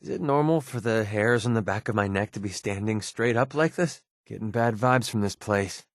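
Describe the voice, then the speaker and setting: A man's voice: on the low side, moderate pace, dry recording, studio quality, natural voice. An actor playing a wry, jaded young male mercenary in a video game, with a casual, sarcastic, grumbling manner. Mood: wary, tense. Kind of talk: video game companion dialogue.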